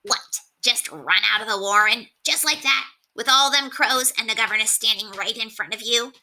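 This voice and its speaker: A woman's voice: fairly high pitch, moderate pace, sharp tone, pitched above the performer's own voice, poor audio. A woman voicing a brash, wisecracking male rat in a storybook, with folksy, streetwise phrasing. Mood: mocking.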